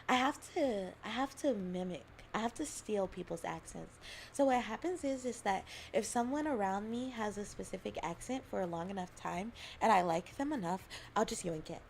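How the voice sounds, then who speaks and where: A woman's voice: medium pitch, lively intonation, moderate pace, gravelly, a little hiss or hum. A young adult woman from Alabama chatting casually on a livestream, giggling often and rambling between thoughts. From Alabama, US South. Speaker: streamer.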